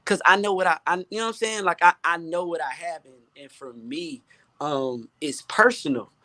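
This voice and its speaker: A man's voice: a little high, normal speed, bright, forceful tone. Emphatic man speaking with earnest passion. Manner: emphatic, repeats phrases, earnest. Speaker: music producer, guest. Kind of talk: impassioned, preaching-like panel chat.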